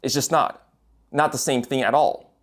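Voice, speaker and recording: Young guy ranting casual to camera, swears a lot, rambles and restarts, mid pitch. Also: normal speed. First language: English. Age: young adult. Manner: blunt, profane, rambling with restarts. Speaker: writer and designer.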